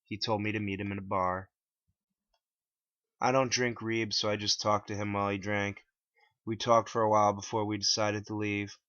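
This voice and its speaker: A man's voice: on the low side, level tone, normal speed, a bit bright, no room sound. A man reading his own first-person story aloud as a dramatic narration. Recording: dull lo-fi dry home recording.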